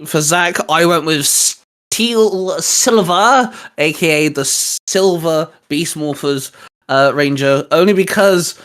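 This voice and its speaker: A man's voice: a little high, slow pace, fairly bright tone. A man hosting a fan discussion show in an enthusiastic, emphatic presenting manner. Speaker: host. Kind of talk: scripted intro, then casual fan discussion.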